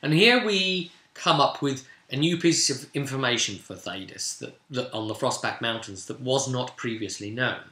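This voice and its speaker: A British man casually recounting a tabletop game as its game master, in an animated storytelling way. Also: medium pitch, moderate pace, few pauses. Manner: animated, acts out players' remarks in quotes. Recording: roomy, echoing room sound.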